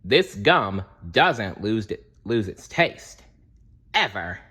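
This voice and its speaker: Man putting on a boastful, booming mad scientist. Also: sing-song, slow, pushed and bright, slightly rough, heavily acted. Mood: boastful showmanship. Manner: grand showman announcing and bragging.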